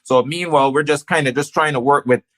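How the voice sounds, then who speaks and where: A man's voice: on the high side, fast, fairly bright tone. A man with a Barbadian accent, a business owner talking casually and stressing his points by repeating phrases. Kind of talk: casual conversation.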